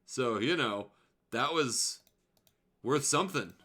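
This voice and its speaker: A man's voice: mid-range voice, lively intonation, takes it slowly, bright, forceful tone. A man streaming games as show host, chatting casually with wry, sweary remarks. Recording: music playing faintly behind the voice.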